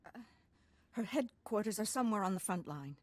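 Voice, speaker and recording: A woman's voice: medium pitch, lively intonation, fairly quick, no echo, close to an everyday voice. An actress playing a haughty, easily rattled aristocratic lady in a video game, refined and dramatic. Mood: pleading. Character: haughty young aristocratic lady, anxious and pleading when threatened. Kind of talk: video game cutscene acting.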